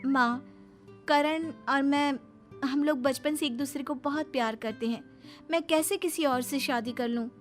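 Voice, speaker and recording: A headstrong young bride-to-be in a comic audio story, acted by a woman narrator. She speaks in a fairly high voice, earnest and insistent, with music beneath. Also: a little slow. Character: headstrong young bride-to-be who defies custom.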